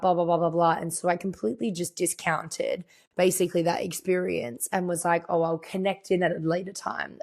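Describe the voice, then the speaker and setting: A young woman with a croaky, partly lost voice, chatting reflectively as a podcast host and voicing her own thoughts as she tells a story. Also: lowish voice, a little mellow. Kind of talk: casual storytelling monologue.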